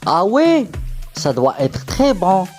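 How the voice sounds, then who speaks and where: A woman voicing a curious, excited young boy in scripted children's cartoon dialogue, with an enthusiastic, wondering delivery. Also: medium pitch, takes it slowly, soft background music.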